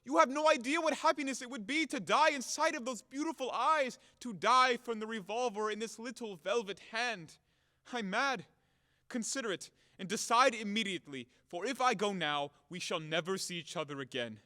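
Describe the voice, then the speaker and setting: A man acting a blustering, hot-headed gentleman landowner in an audio play, melodramatic and declamatory, wildly in love. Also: very high-pitched, moderate pace. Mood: agitated, passionate. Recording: long hall reverb, sometimes off-mic.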